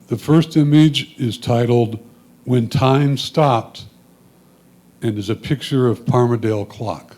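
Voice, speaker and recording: Mellow-voiced man reading photo captions. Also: mid-range voice, takes it slowly. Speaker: reader of photographers' comments. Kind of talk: reading prepared notes aloud.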